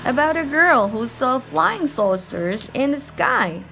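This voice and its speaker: A woman reads a picture book aloud with a high, lively voice. She takes her time over the phrases, telling it expressively for children, with faint noise behind her. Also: gravelly. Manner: animated, unhurried storytelling with short asides. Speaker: storybook reader.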